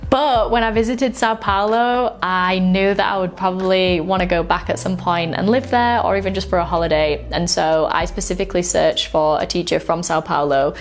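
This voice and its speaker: A woman's voice: fairly low pitch, steady tone, moderate pace, hardly any pauses, faint music behind. A young woman with a light Yorkshire accent, talking casually as an English teacher in an explainer video, with frequent "like" and "um" fillers.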